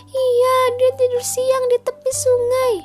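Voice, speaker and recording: Anak domba kecil bersuara sangat tinggi. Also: female voice, very high-pitched, completely flat delivery, slow pace, darkish tone, soft background music, voice pushed up. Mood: eager. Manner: plaintive, childlike. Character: small frightened youngest lamb, a tearful child.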